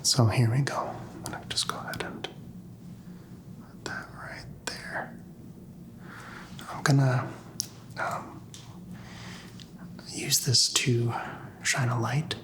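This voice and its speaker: A man speaking in a soft, breathy whisper, playing a doctor in an ASMR medical exam, gentle, halting and reassuring. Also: medium pitch, flat delivery. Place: small doctor's office.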